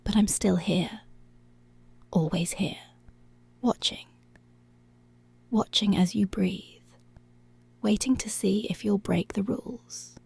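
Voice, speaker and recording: Policewoman, played by a woman: commanding, teasing, seductive ASMR roleplay. Whispery with creaky edges, deliberate and unhurried. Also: mid-range voice, a little mellow, full character voice. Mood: teasing, controlling. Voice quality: breathy, whispery. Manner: slow, deliberate, controlling, drawn-out phrases.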